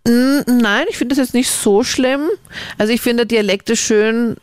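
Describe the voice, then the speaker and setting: A woman's voice: a little high, unhurried, clean tone, no room sound. Chatty woman from Linz on radio. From Linz, Austria. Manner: chatty, animated storytelling with laughs. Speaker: radio show co-host. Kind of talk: casual radio chat. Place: radio studio. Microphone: studio microphone.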